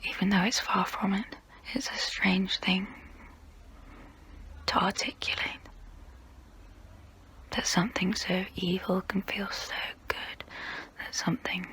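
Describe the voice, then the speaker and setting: A woman playing a guilty, melancholy centuries-old vampire in an ASMR role play, whispering in a slow, hesitant, wistful way with formal phrasing. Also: mid-range voice, sharp tone, rough recording. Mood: wistful, conflicted. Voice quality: breathy whisper.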